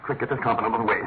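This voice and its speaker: An actor playing a shrewd, imperious master detective in a classic radio drama, incisive and urgent. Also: male voice, medium pitch, creaky, lightly acted. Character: brisk, commanding, shrewd male master detective. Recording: tinny, narrow-band old radio, faint noise.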